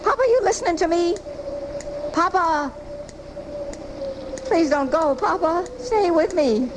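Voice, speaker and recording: An actress playing a feisty, fretful frail old American spinster who scolds in folksy, indignant style in a live radio drama. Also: female voice, very high voice, animated, takes it slowly, heavily acted. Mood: pleading, wistful. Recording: noisy amateur off-air radio recording from 1984.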